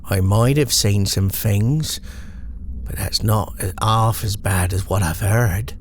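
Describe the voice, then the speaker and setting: A man voicing a wary, superstitious old village woman with a rustic English accent, muttering ominously in slow folk dialect for a scary story. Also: fairly low pitch, slight background noise. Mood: grim warning.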